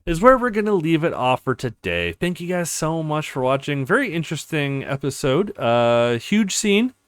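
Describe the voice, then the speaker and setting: Man, let's play streamer, casual commentary, lively swooping pitch, hesitant phrasing, very dry sound. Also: normal speed, smooth, no echo.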